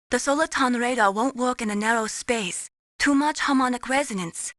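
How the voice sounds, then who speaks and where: A woman voicing an earnest, caring young mission support analyst in a video game, encouraging and gently solicitous. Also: on the high side, no room sound, close to an everyday voice.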